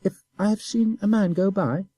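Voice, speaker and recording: A man's voice: high-pitched, normal speed, warm tone, slightly rough, dry recording, voice pushed up. Man putting on a sly mocking little boy. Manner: sneering, pert. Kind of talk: audiobook character voice.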